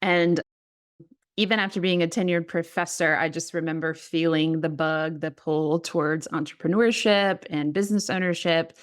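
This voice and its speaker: A woman's voice: low-pitched, steady tone, normal speed, clean tone. A woman writing coach, a former professor, talking as a podcast guest, reflective and laughing easily. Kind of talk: casual interview talk.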